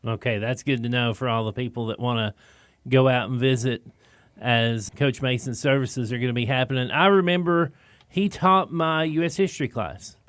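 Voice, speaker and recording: A man's voice: mid-range voice, steady tone, normal speed, a bit bright, no echo. A man hosting a radio show, talking in an easygoing, plain-spoken way in a studio. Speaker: radio host. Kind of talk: casual radio talk.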